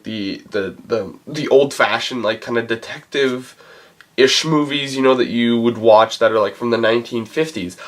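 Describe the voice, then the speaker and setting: A man's voice: mid-range voice, fairly bright tone. Guy with a cold doing a laid-back movie review, kinda rambly, keeps hunting for words. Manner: rambling, halting, searching for words. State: has a cold. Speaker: movie reviewer and channel host. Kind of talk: casual talk-to-camera film review.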